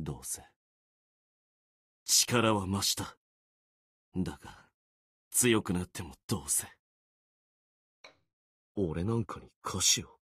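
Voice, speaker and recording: A man voicing a gloomy, self-deprecating young warrior sword spirit in a video game, brooding and resigned, with a husky voice. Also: no room sound, full character voice. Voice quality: low, husky. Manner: brooding, resigned, muttering with trailing pauses. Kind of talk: Japanese video game character acting.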